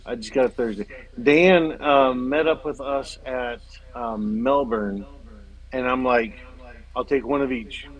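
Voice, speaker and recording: A man's voice: mid-range voice, a little slow, low-quality recording. A man in casual livestream chat as a guest, speaking in an informal, chummy, enthusiastic way.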